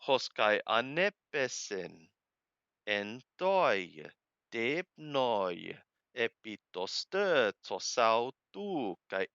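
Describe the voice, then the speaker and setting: A man reads scripture aloud and translates it in short, literal phrases. His delivery is extremely slow and emphatic, with very lively swings in pitch. The voice is clean and resonant, with halting, deliberate phrasing. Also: smooth, no room sound, muffled recording. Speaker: Bible reader and translator.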